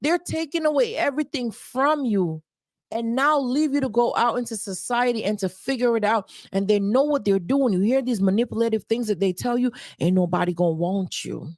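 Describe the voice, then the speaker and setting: A woman's voice: a little high, a little fast, darkish tone, no room sound, studio quality. A woman life coach giving advice on a livestream, emphatic and repeating points for stress.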